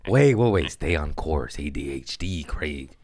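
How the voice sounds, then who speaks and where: A man's voice: a bass voice, expressive, unhurried, darkish tone, no room sound, clean studio sound. A man, a comedian hosting his podcast, talking in a casual, crude, rambling way and breaking off mid-sentence to restart. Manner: crude, rambling, jokes at his own expense. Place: podcast studio.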